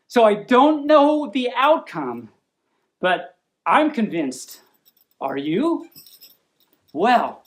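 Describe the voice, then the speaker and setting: A woman hosting a storytime, reading aloud in a playful, mock-serious manner with chatty asides and stumbles over long words. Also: medium pitch. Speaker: storytime show host.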